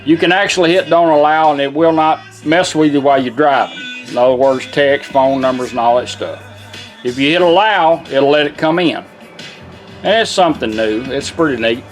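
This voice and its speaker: A man's voice: a little high, normal speed, faint music behind. A man giving a casual, hands-on product demonstration in a folksy, plain-spoken way.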